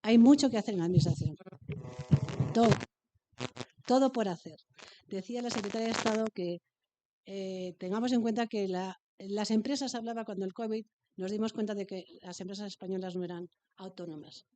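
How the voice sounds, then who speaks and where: Earnest woman, mellow voice. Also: mid-range voice, fairly quick. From Aranda de Duero, Spain. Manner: hesitant at first, then emphatic and earnest. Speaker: municipal treasurer and panel speaker. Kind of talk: impromptu panel remarks.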